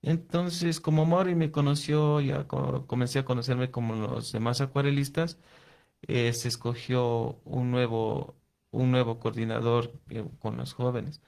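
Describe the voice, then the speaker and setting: A man's voice: mid-range voice, flat delivery, a little slow, creaky, darkish tone. A man with an Ecuadorian accent answering interview questions conversationally, explaining things and often restarting his sentences. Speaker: interview guest, watercolor artist and youth coordinator.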